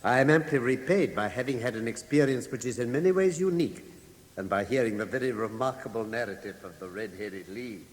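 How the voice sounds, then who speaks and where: An actor in a British radio drama playing a coolly analytical gentleman detective with an English accent and a precise, assured manner. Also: mid-range voice, a little fast, slight background noise, close to an everyday voice. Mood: composed.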